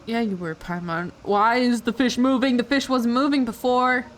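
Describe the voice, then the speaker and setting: A woman's voice: on the high side, steady tone, normal speed. A woman streamer giving casual, teasing live reactions to a game, often giggly and repeating words for effect. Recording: faint noise on livestream. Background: game audio.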